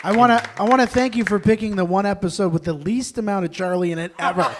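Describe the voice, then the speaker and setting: High-voiced man joking and laughing. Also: fast talker, a crowd in the background, background noise. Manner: joking, laughing through talk. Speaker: table read cast member. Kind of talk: casual post-show chat. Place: live table read at an arts centre.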